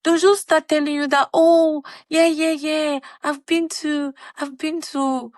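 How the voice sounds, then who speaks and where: Woman, twenties, from Cameroon; podcast co-host, lively storyteller who acts out dialogue, dry close sound. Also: sits high, unhurried, dry recording. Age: 20s. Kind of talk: casual chat.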